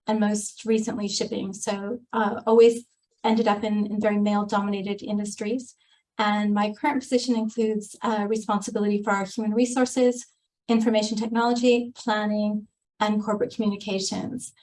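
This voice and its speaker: A woman's voice: medium pitch, rough recording. A woman, giving a composed, businesslike answer on an online panel. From United States. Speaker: panelist, chief strategy officer. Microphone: video call microphone.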